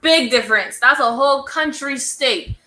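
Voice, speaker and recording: Animated young Bahamian woman, bright. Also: high voice, moderate pace. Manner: animated storyteller, exasperated asides. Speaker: podcast co-host. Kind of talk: casual chat. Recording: roomy echo.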